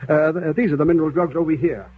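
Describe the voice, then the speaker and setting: An actor playing a knowledgeable, enthusiastic guide who explains with assurance in scripted 1930s educational radio style. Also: male voice, fairly high pitch, fairly even intonation, fast, natural voice. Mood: informative. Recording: tinny, noisy 1930s radio recording.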